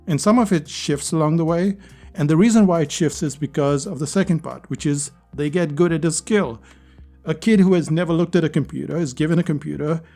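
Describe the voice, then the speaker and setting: Mellow-voiced man hosting a podcast. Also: on the high side. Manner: earnest, conversational, repeats phrases for emphasis. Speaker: podcast host. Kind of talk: solo podcast monologue.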